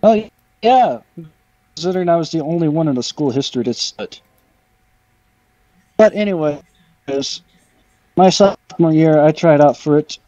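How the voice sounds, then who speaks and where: A man's voice: on the high side, animated, moderate pace, long pauses, warm tone, no room sound. A young American man casually telling personal stories over gaming voice chat. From United States, Michigan. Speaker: guest storyteller on gaming video. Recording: dull lo-fi voice chat.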